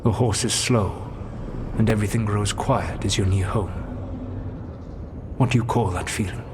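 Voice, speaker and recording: A man plays an ancient elven god from a fantasy game. He is lowish in pitch, solemn and formal, a calculating mentor weighing his words over an audible ambient noise. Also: fairly even intonation, normal speed, natural voice. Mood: sorrowful. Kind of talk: fantasy game dramatic dialogue.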